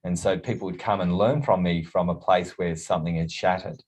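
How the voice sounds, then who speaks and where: A man hosts a podcast interview in a very low voice. His questions come out loose and halting, padded with "um" and "like" as he works his way toward the point. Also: fairly even intonation, darkish tone, breathy edge. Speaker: podcast host. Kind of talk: casual interview chat.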